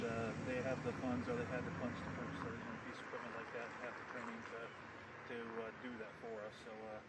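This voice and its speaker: An American man speaking as a police spokesman in an informal briefing, with halting, procedural phrasing and frequent hesitations. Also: medium pitch. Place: outdoors near an investigation scene. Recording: distant mic, loud outdoor vehicle noise.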